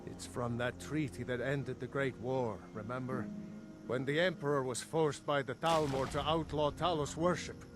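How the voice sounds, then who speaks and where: A man's voice: medium pitch, fairly even intonation, moderate pace, over music, natural voice. A man acting an earnest, plain-spoken village blacksmith. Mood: reflective. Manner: earnest, opinionated, matter-of-fact. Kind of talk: fantasy video game dialogue.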